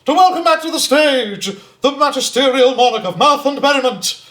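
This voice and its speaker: A man's voice: extremely high pitch, expressive, moderate pace, sharp tone, full character voice. An actor playing a jovial, pompous music hall chairman on stage, making florid, projected announcements that relish every alliteration. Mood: jovial, grandiose. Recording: roomy theatre, faint background noise.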